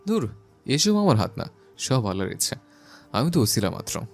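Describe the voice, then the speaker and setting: A domineering yet loving young husband, voiced by a man in an audio love story. His low voice is gravelly and lively, full of teasing and possessive swagger. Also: normal speed, soft background music. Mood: friendly. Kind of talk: audio story character acting.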